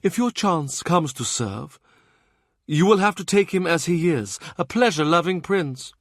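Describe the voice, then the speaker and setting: A man voicing a worldly older cardinal with a dry, teasing, smoothly persuasive manner in an audiobook. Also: on the high side, expressive, unhurried, no echo, voice pushed up. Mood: wry teasing.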